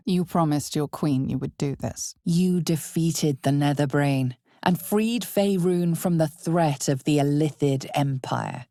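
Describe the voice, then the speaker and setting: A woman voicing a solemn, ominous narrator of a dark fantasy video game, with measured, dramatic storytelling. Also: low-pitched, vocal fry, no echo, clean studio sound, heavily acted. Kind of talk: scripted second-person fantasy game narration.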